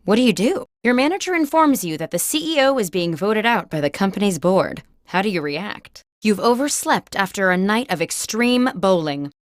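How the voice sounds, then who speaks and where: An actress playing an upbeat office job interviewer who hosts a video game, asking scripted questions in a theatrical, animated way. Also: female voice, medium pitch, normal speed, hardly any pauses, no room sound, studio quality, close to an everyday voice. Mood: brisk, quizzing. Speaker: game host.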